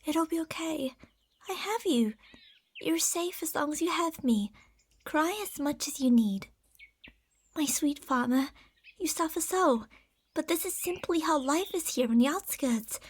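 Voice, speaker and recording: An obsessive lamia beast-woman, played by a woman, speaks in a high, soft voice. Her phrasing is formal and soothing, dipping into whispers in intimate ASMR roleplay style. Beneath the tenderness she is possessive and deceitful. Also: unhurried, pauses often. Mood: tender, comforting.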